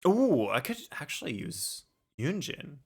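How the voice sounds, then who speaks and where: A man's voice: fairly high pitch, very animated, unhurried, gravelly, a little mellow, husky. A man chatting casually on a game livestream, informally thinking aloud as he plays. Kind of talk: casual livestream gaming chat. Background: music.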